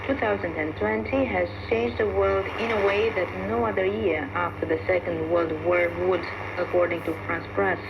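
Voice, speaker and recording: Creaky-voiced woman host on noisy shortwave. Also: mid-range voice, fairly even intonation, moderate pace, few pauses. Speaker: radio programme host. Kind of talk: scripted news review narration. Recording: very noisy, dull shortwave radio reception. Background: loud radio static and noise.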